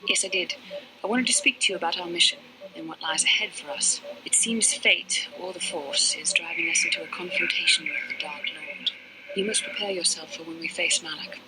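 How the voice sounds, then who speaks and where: A woman's voice: lowish voice, a little fast, very noisy, natural voice. A woman playing a proud, earnest young Jedi with guarded feelings in a video game, speaking in a formal, lecturing way. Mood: grave, measured. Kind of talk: dramatic video game dialogue acting.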